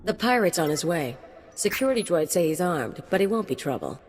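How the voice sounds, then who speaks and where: Brief: low-voiced palace guard, curt, echoing hall, faint noise. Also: female voice, sing-song, a little fast. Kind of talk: video game cut-scene acting.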